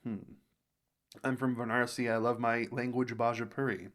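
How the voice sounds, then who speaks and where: A man's voice: mid-range voice, level tone, moderate pace, a bit bright. Rambling American man reading comments aloud. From United States. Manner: rambling, thinks aloud with many restarts. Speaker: reaction video host.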